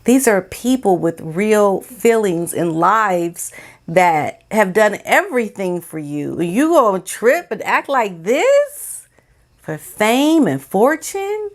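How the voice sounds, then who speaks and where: A woman's voice: mid-range voice, big pitch swings, slow pace. An American woman giving informal opinion commentary as a vlogger, speaking in a sassy, emphatic, colloquial way.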